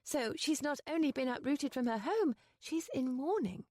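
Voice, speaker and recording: A woman's voice: sits high, animated, normal speed, darkish tone, dry recording, studio quality, pitched above the performer's own voice. A woman voicing a flustered, chatty young lady of good breeding in a raised, breathless and fluttery voice.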